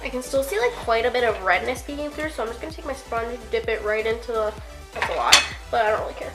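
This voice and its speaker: A woman's voice: a little high, quick pace, music in the background. A young woman with a congested, cold-stuffed voice, chatting casually through a makeup tutorial with lots of fillers and the odd curse. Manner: chatty, filler-heavy, offhand swearing. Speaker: beauty vlogger.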